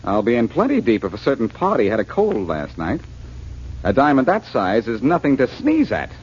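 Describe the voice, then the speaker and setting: Wisecracking radio sleuth, cocky, lively up and down voice, old muffled recording. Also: male voice, mid-range voice, noisy background. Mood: confident. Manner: flippant, quick with quips. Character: breezy, cocky, wisecracking male amateur sleuth. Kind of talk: 1940s radio detective drama acting.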